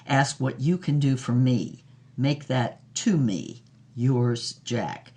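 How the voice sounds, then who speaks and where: An actress plays an older woman, a former movie star with a worldly, frank air. She spins her memories like a practised raconteur in an audio drama. Her delivery is theatrical and deliberate, pausing for effect. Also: rumbling low, lively intonation, darkish tone, husky, exaggerated voice. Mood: dramatic, confiding. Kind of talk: dramatised interview, storytelling monologue.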